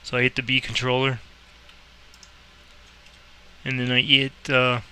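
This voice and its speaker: A man talks through a simulator game in a very bright, sharp voice that stays fairly flat in pitch. He is informal and rambling, thinking aloud between clipped controller calls, and his tone is clean and clear. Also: a little slow. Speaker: host explaining a game and playing air traffic controller. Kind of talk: casual screen-recorded game tutorial with radio calls.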